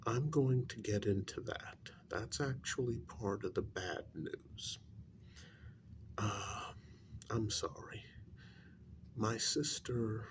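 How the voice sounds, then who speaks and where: A man acts out a grave male spiritualist who talks extremely slowly in a low, soft, gravelly voice. He picks his words with care and hesitates before hard news, in a hushed ASMR role-play style with background noise. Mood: hesitant, apologetic. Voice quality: soft, low, creaky voice, breathy in places. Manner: very slow, deliberate, hesitant, with long pauses.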